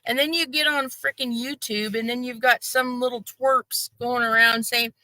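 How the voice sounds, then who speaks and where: Bright, high-pitched chatty woman. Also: moderate pace, dry recording, poor audio. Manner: animated, mocks others in exaggerated high voices. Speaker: livestream co-host. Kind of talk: casual livestream chat.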